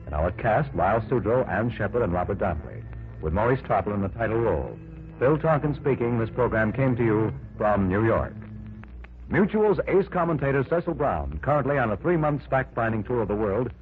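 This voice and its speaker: Brief: 1940s radio announcer man, crisp and promotional, lo-fi broadcast sound. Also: medium pitch. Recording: old radio broadcast, phone-like.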